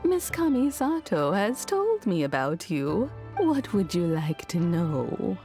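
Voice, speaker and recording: Soft-toned, expressive household servant, gracious and fond, anime game, faint music underneath. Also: female voice, fairly low pitch, full character voice. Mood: gracious welcoming. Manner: fond, genteel, measured. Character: gentle, gracious, affectionate household maidservant.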